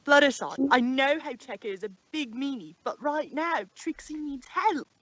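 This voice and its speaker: A woman voicing an excitable, urgent young mare in a fan fiction read-aloud. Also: high-pitched, moderate pace, dry recording, dull audio.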